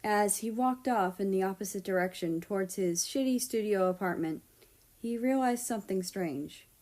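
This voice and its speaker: A woman's voice: mid-range voice, normal speed, darkish tone. A woman narrating a scripted story as a reader of fan fiction.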